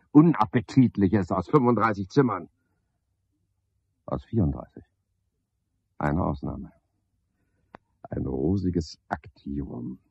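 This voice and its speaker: An actor playing a cynical, scheming night porter who talks to himself in a sardonic, pedantic way in a radio play monologue. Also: male voice, lowish voice, breathy edge, no echo. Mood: disgusted, then sly. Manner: sardonic, pedantic, swinging from muttering to outbursts. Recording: dull, lo-fi, dry studio radio recording.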